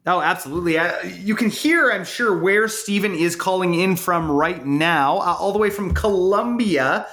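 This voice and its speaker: A man's voice: high voice, normal speed, few pauses, a bit bright. A man hosting a business podcast, upbeat and joking as he asks questions. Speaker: podcast host. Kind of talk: podcast interview and ad read.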